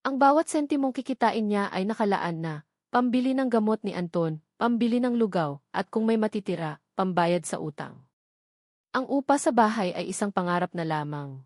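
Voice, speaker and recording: A woman's voice: medium pitch, a little mellow, dry recording, clean studio sound. A woman narrating a scripted romantic drama story as a dramatic storyteller.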